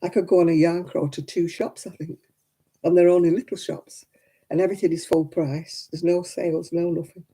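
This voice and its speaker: A woman in her seventies hosting a crafting livestream, chatting homely and warmly with viewers by name and laughing often. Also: lowish voice, level tone, fairly quick, slightly rough. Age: 70s. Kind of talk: casual chat reading out viewer comments.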